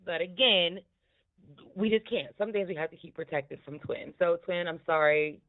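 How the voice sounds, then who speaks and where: A woman's voice: lowish voice, normal speed. A woman with a smoky voice chatting casually and candidly about podcasts, swearing freely and prone to laughing. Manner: candid, profane, laughs often. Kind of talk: casual chat, commentary on podcasts. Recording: thin, tinny phone-line audio.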